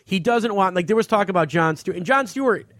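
A man's voice: high voice, animated, fast talker, fairly bright tone, no echo, studio quality. A man hosting a podcast, giving opinions on entertainment in a casual, blunt way and swearing freely. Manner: blunt, profane. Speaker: podcast host.

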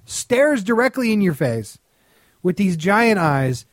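A man's voice: high voice, very animated, measured pace, no room sound, studio quality. A man giving casual comedic podcast commentary as a guest co-host, sardonic and opinionated.

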